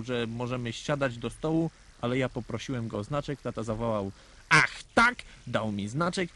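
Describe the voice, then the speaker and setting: A man's voice: mid-range voice, a bit bright, lightly acted. A man playing a naive, earnest little boy who tells his own story in a radio play. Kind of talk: scripted first-person storytelling in a radio play.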